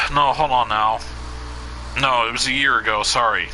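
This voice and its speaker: A man's voice: mid-range voice, fairly even intonation, measured pace, sharp tone, slight background noise, low-quality recording. A man streaming a video game, chatting to viewers in a casual, rambling way and answering their questions with lots of fillers. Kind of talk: casual let's play commentary.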